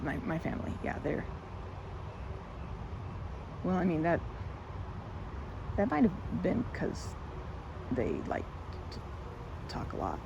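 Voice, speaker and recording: A shy, nervous young woman played by a female voice actor rambles through an ASMR roleplay with lively, swooping pitch and a creaky edge. She stammers, doubles back on her words and slips into oddly formal, wordy phrasing. Clear ambient noise sits behind her. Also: exaggerated voice. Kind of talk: ASMR roleplay, one-sided audio drama.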